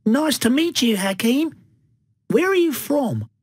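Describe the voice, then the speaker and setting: A woman voicing a friendly young schoolboy in a textbook dialogue, speaking slowly and clearly. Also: high voice, sing-song, a little mellow, dry recording, clean studio sound, exaggerated voice.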